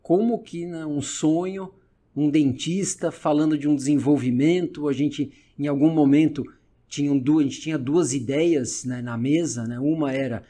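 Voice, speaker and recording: A man's voice: fairly high pitch, normal speed, mellow. A middle-aged man hosting a podcast, speaking reflectively with many hesitations and fillers. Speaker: podcast host, dentist. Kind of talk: casual interview conversation.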